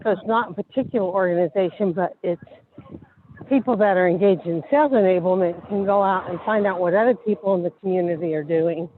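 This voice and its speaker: A woman's voice: fairly low pitch, brisk, slight background noise. A woman taking part in an online discussion group, talking in a thoughtful, conversational way. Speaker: group participant. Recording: tinny phone-like line.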